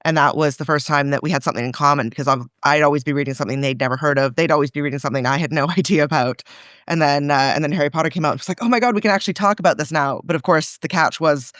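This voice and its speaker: A woman's voice: low voice, fast, no room sound, clean studio sound. A woman with a Canadian accent, a guest author talking casually in an interview, chatty and laughing often, with many fillers and restarts. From Canada and France.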